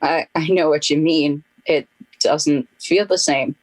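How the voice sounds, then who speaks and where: A woman's voice: sits low, steady tone, a little slow, no room sound, rough recording. A woman acting in a radio play as a grieving, regretful young friend.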